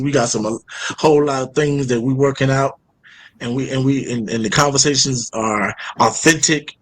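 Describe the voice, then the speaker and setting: A man's voice: medium pitch, poor audio. A man hosting a casual podcast, laid-back and slangy, often repeating words as he gets a sentence going. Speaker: podcast host.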